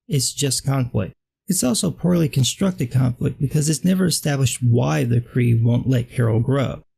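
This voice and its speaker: A man's voice: mid-range voice, creaky, mellow, breathy edge. A man with a dry voice delivering a sarcastic, blunt scripted film commentary. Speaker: film critic vlogger.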